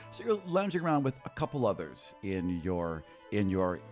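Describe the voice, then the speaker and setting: A man's voice: low voice, huge pitch swings, normal speed, darkish tone, soft background music. A man working as game master, narrating a tabletop game in an informal, conversational, improvised way.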